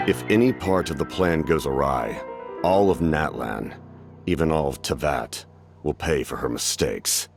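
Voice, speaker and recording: Very deep, grave commanding captain. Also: male voice, over music. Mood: grave, ominous. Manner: formal, measured, persuasive, solemn. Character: grave, commanding male military captain, calculating and courteous. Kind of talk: English game voice acting.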